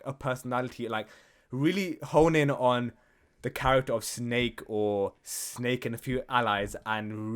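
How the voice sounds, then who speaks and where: Emphatic man in casual podcast chat. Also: medium pitch. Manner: sweary, emphatic, argues his point with checking questions. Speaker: podcast host. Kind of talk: casual gaming podcast discussion.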